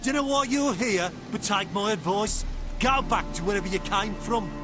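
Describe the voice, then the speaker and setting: An actor playing a surly, hostile thug in a video game, with a menacing, sardonic manner. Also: male voice, sits high, normal speed, music in the background, natural voice.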